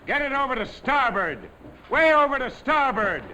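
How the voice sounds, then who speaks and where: A man's voice: extremely high pitch, sing-song, normal speed, pushed and bright, a little hiss or hum, low-quality recording. A man addressing a ship's deck crew as an officer, giving stern, impatient orders. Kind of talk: barked orders.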